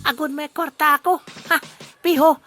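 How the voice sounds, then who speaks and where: Brief: performer acting as a very high-voiced, impish schemer for comic audio drama, giddy, exclamatory self-talk, music underneath. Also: fairly even intonation, moderate pace, lightly acted. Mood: gleeful scheming. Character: scheming, hungry, impish banana thief, giddy and vengeful.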